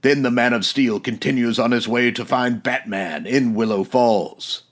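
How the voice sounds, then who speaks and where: A man's voice: medium pitch, a little slow, fairly bright tone. An actor playing an earnest male narrator, giving formal, dramatic descriptions in the style of a comedic 1940s radio serial.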